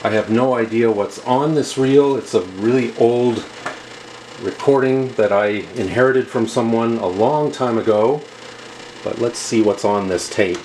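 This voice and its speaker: A man's voice: medium pitch, a little slow, darkish tone, low-quality recording. A man giving a casual, methodical demonstration of equipment as a review host, explaining step by step. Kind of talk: casual product demonstration narration. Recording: clear background noise.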